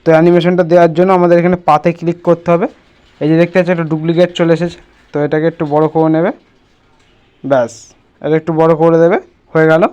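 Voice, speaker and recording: A man's voice: a little high, little pitch movement, quick pace, long pauses, a little mellow, smooth, muffled recording. A man presenting a casual step-by-step screen tutorial, instructing and often checking that the listener follows.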